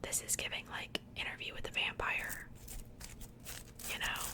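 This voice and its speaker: A woman whispering softly and breathily in a casual, gentle ASMR style, chatting conversationally close to the microphone. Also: normal speed. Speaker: host of an ASMR doll unboxing channel.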